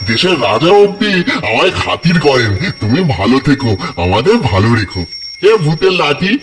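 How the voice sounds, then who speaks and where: A man's voice: medium pitch, very animated, normal speed, close to an everyday voice. A man playing a plucky, good-hearted village weaver in a cartoon folktale, with theatrical, declamatory delivery. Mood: reverent, grateful. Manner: theatrical, declamatory, calling out commands. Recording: clear music under the voice.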